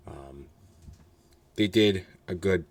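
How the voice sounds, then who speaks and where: A hoarse young man casually reviewing a roller coaster, rambling with many fillers and blunt, candid asides. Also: sits low, takes it slowly, a little mellow.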